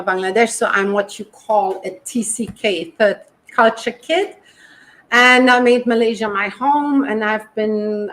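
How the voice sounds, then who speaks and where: A woman's voice: medium pitch, low-quality recording. Hesitant woman health coach. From Germany. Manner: hesitant, many ums, self-correcting. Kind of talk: video call interview. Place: home kitchen. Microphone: video call.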